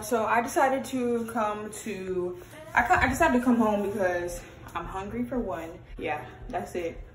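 A woman in her twenties with an American accent, chatting casually and slangily as a vlogger. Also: medium pitch. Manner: informal, slangy, animated asides. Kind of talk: casual vlog chat. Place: inside a parked car and at home.

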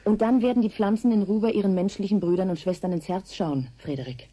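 A woman's voice: medium pitch, fast talker, warm tone, husky, natural voice. An actress playing a grave, alarmed woman researcher in a radio drama, speaking with brooding, insistent urgency. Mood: dark foreboding. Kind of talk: 1980s German radio drama acting.

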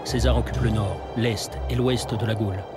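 A man narrating a historical documentary from a script, in a measured storytelling manner. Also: fairly low pitch, steady tone. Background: music.

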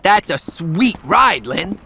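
A man's voice: high voice, very animated, takes it slowly, gravelly, pushed and bright, heavily acted. A young car enthusiast gangster in a game cutscene. His casual voice swoops widely, clueless and nosy, with faint noise behind. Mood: curious. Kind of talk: video game cutscene acting.

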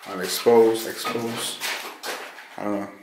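A man's voice: on the low side, little pitch movement, slow. A man casually narrating an exploration vlog as a ghost hunter inside an empty building. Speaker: ghost-hunting vlogger. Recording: echoey rooms with clear noise.

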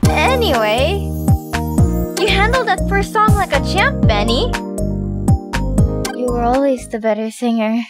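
Loud music sits behind a woman acting a perky, clingy young cartoon woman. The voice is very high and swoops expressively. The delivery is coaxing and sing-song. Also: very animated, measured pace, pauses often.

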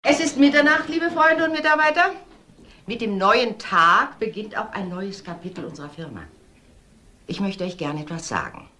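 An actress playing a company boss giving a formal, ceremonial speech to staff in a crime radio drama. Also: female voice, medium pitch, expressive, normal speed, pushed and bright. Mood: solemn.

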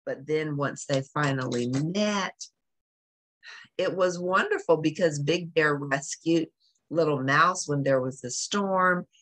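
A woman's voice: low-pitched, a bit bright. A woman reading a picture book aloud to young children, explaining it in simple phrases. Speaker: children's story reader.

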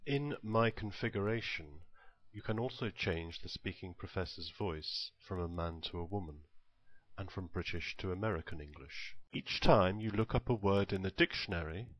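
A man reading scripted tutorial narration in a measured, instructional manner. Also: sits low, lively intonation, a bit bright, dry recording, rough recording.

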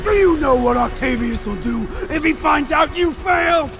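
A man's voice: extremely high pitch, moderate pace, over loud music. An actor playing a snarky, vicious, boastful male supervillain in a video game, taunting as he speaks. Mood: angry. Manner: taunting, sneering.

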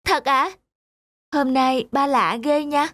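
A woman voicing a headstrong, carefree young daughter in an animated moral tale, girlish and expressive. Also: high-pitched, slow pace. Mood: delighted.

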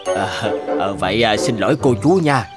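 An actor playing a polite, hesitant land buyer in an animated drama, speaking in a courteous, halting way. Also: male voice, on the low side, natural voice. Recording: music behind the voice.

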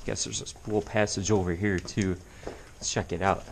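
Low-pitched man, easygoing cave-exploring vlog chatter, faint background hiss. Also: steady tone, moderate pace, vocal fry. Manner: easygoing, chatty, thinking aloud. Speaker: vlogger exploring caves. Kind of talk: casual handheld exploration commentary. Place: inside a limestone cave.

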